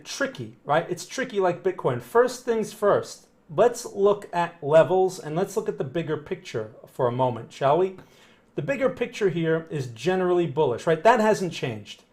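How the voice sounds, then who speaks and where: A man in his thirties with a New Jersey accent, speaking at a slightly higher pitch than most men. He is hosting a live webcam stream from a small room, walking through market charts and reading questions from the chat. His delivery is casual and conversational, with frequent "right?" check-ins. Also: a little mellow. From northern New Jersey, USA. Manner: conversational, often ending with a tag "right?". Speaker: market analyst livestream host. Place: small room in front of a blue backdrop.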